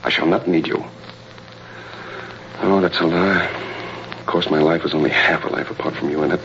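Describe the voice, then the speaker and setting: Deep, grave colonel-spy. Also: male voice, fairly even intonation, moderate pace, vocal fry. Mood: grave, tender. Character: grave, devoted spy posing as German colonel. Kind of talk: 1930s radio melodrama acting. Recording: muffled, noisy old radio broadcast.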